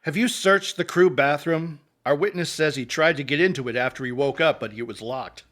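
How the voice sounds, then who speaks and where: A man's voice: on the high side, fairly quick, a bit bright, close to an everyday voice. A man playing a terse, stern police captain giving orders over the radio in an audio drama.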